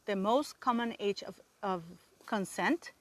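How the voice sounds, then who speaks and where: A woman's voice: mid-range voice, a little slow. A woman with a Canadian accent giving an indignant, rambling solo commentary as host of her own channel. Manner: emphatic, indignant, rambling with restarts.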